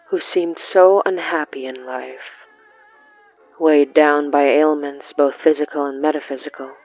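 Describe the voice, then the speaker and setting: A narrator telling a bittersweet period tale in a tender, solemn, measured dramatic reading with deliberate pauses. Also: mid-range voice, lo-fi sound. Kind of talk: slow dramatic scripted narration of a horror fiction podcast.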